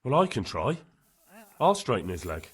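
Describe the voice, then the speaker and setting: An actor playing an earnest, helpful young medieval villager in a video game, speaking plainly. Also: male voice, fairly low pitch, very animated, moderate pace, slightly rough, exaggerated voice. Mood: earnest, concerned. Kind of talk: video game cutscene dialogue.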